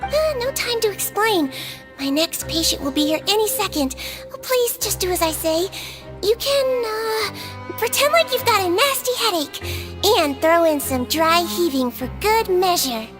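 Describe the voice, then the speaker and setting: Sigewinne: a sweet, very high creature nurse. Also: female voice, expressive, measured pace. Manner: soft, kindly, quietly teasing. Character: small gentle creature nurse, sweet and sly. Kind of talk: game voice acting. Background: music.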